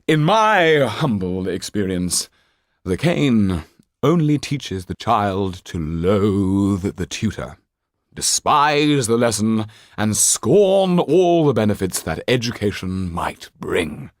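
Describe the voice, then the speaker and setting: A flamboyant, shabby-genteel stage actor, courtly and effusive. He declaims in drawn-out phrases, lifting well above a plain speaking pitch and swooping widely, in a close, dry recording. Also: sing-song, dry recording. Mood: passionate, declamatory. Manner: grandiloquent, declamatory, drawn-out delivery.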